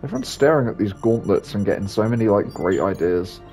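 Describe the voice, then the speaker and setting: A man with a gravelly, husky voice giving casual, rambling commentary as he plays a video game. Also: lowish voice, lively intonation, a little fast, darkish tone, faint music behind, poor audio. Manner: rambling, offhand. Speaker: gaming video creator.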